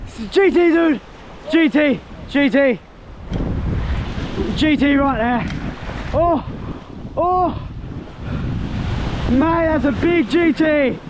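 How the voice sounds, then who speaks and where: A man's voice: extremely high pitch, slow pace, long pauses. A young man filming himself fishing from a tropical beach, exclaiming and laughing as fish bite, recorded on a head-mounted action camera. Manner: excitable, exclaiming, laughing often. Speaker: fishing vlogger. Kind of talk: excited fishing commentary. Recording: wind noise on outdoor camera mic.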